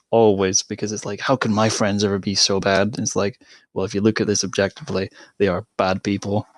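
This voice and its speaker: Guy guest rambling w likes and you knows, kinda low voice, dry close sound. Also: no echo. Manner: rambling, lots of fillers. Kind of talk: casual online chat.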